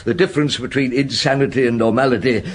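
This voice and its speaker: An actor playing a weary, doubting middle-aged chief inspector in a radio drama, probing, hesitant and often muttering to himself. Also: male voice, medium pitch, fast talker, a little hiss or hum, lightly acted. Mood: musing, muttering. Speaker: detective and narrator. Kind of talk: radio drama dialogue and narration.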